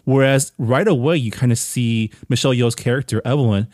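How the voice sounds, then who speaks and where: A man's voice: mid-range voice, brisk, darkish tone, no echo. Man, film review chat, dry close mic, thoughtful, explaining. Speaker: film podcast co-host.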